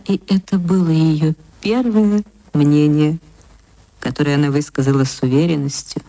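A woman reading a literary story for radio as narrator, with an expressive, dramatic and deliberate delivery. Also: low voice, rough recording.

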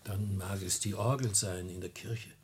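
An actor playing a humble, deferential manservant in a classic radio drama, subdued and gravelly. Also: male voice, deep voice, fairly even intonation, moderate pace. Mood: hesitant, deferential. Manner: subdued, respectful. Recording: old noisy radio recording with hum.

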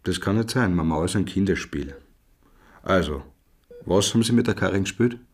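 An actor in a radio drama playing a sceptical, persistent police interrogator with an Austrian accent and a dry, measured, probing manner. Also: male voice, low-pitched, expressive. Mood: probing, insistent. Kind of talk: naturalistic radio drama acting.

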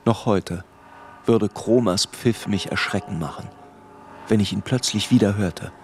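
An actor playing a grown man who recalls his tormented boyhood in grave, measured literary narration for a radio play. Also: lowish voice, slight background noise. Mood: haunted, grave.

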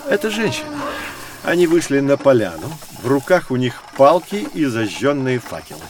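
An actor playing a patient, kindly guide who tells a children's educational story in a measured, expressive way. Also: male voice, mid-range voice, crowd noise, natural voice. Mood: hushed explaining. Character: wise adult male guide, Vladimir Sergeyevich, patient and kindly storyteller. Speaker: narrator and guide. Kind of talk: children's educational audio drama narration.

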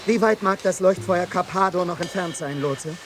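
Ein Schauspieler spielt im Hörspiel einen befehlsgewohnten, drängenden Schiffsoffizier im Sturm. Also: male voice, high-pitched, normal speed, music in the background, close to an everyday voice. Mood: commanding. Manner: urgent, commanding. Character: commanding ship's officer in a storm. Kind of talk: radio drama acting. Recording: clearly noisy ship sound effects.

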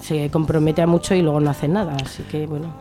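A woman's voice: deep voice, fairly even intonation, quick pace, music in the background. A woman with an accent from Spain giving earnest, outspoken answers as an activist guest in an informal radio interview. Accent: Spanish from Spain.

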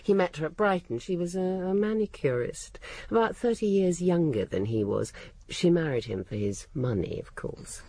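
Radio-drama housekeeper, educated English woman, husky, animated intonation, shrewd, dry, poised, gossipy. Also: lowish voice, normal speed, no room sound. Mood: scornful. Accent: English, educated upper-middle-class. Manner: poised, dry, candid, cutting.

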